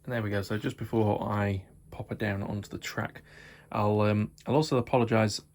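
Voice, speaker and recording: Slightly croaky chatty man. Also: lowish voice, steady tone, brisk, a little mellow, a little hiss or hum. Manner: chatty, repeats praise words, many fillers. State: croaky from hay fever and little sleep. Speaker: model railway hobbyist reviewer. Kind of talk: casual unboxing and test-run commentary.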